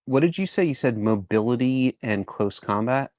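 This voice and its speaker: A man's voice: mid-range voice, lively intonation, moderate pace, a little mellow, dry recording, studio quality. A man speaking casually as a player in a tabletop roleplaying session. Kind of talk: casual game chat.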